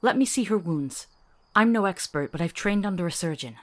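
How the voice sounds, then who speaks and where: A woman playing a quick-witted, compassionate travelling companion in a fantasy visual novel. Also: on the low side, lively intonation, lightly acted. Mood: concerned.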